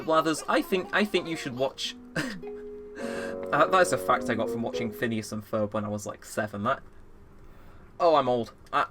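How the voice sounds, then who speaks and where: An eighteen-year-old man chatting casually through a let's play, rambling and poking fun at himself. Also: a little high. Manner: rambling, self-mocking, chatty. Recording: game music playing under the voice. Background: game music.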